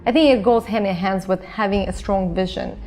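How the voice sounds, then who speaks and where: A woman from Azerbaijan whose first language is Russian, talking casually and earnestly as an interview guest, telling stories in an informal, expressive way. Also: mid-range voice, fairly quick. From Azerbaijan, former Soviet Union. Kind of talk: casual conversational storytelling. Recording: music behind the voice. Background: background music.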